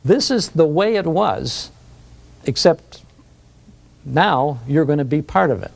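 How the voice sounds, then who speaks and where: A man's voice: a little high, lively intonation, slow pace, vocal fry. An older American man presenting a scripted introduction to camera as host. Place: recording studio control room, indoors.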